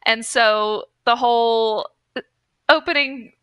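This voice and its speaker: A woman's voice: a little high, fairly even intonation, takes it slowly, bright, forceful tone, clean tone, no echo. A woman co-hosting a podcast, chatting casually in an informal, slangy way. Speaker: podcast co-host. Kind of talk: casual chat.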